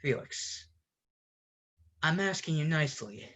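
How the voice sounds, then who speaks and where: A man playing an exasperated roommate ranting at his fussy friend in a deliberate theatrical monologue with dramatic pauses. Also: on the high side, animated, bright, forceful tone, a little hiss or hum, exaggerated voice. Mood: pleading, fed up. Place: online video call performance.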